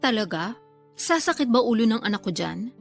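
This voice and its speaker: Casting: middle-aged working-class mother, high voice, nagging, boastful banter, radio drama with light music. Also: very animated, moderate pace, creaky. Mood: boastful, teasing. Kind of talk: Tagalog radio drama acting.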